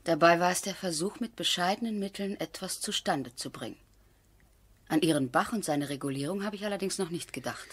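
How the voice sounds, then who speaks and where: Lady of the manor: female, slightly low register, polite, composed, wry, 1970s radio drama. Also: fairly quick, breathy edge. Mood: businesslike. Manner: composed, polite, gently ironic. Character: cultivated, practical, wry lady of a country estate.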